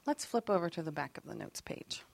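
Animated woman leading a faith workshop. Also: lowish voice, fairly quick, gravelly. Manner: animated and expressive, acting out quoted lines; chatty and self-deprecating, with asides to the group. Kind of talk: teaching a faith workshop to a group.

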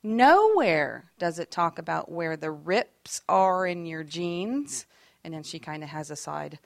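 A lively woman teaching a faith workshop, her voice sitting a little low for a woman. She talks in a chatty, self-deprecating way and acts out the lines she quotes. The sound is dry and close, with little room echo. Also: a little slow, creaky. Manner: animated and expressive, acting out quoted lines.

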